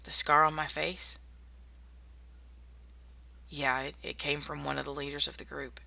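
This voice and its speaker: A woman playing a tough, wry young survivor in a zombie apocalypse ASMR roleplay, speaking softly and intimately to one listener. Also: low-pitched, little pitch movement, a little slow, creaky, exaggerated voice. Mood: grim, defiant. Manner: soft-spoken, intimate, addressing one listener. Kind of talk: ASMR roleplay audio drama.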